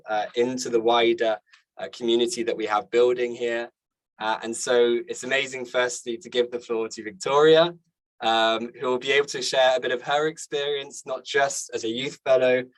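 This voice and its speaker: A man's voice: mid-range voice, rough recording. A man hosting an online event in an informal presenting style, with an upbeat, appreciative manner. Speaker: event host.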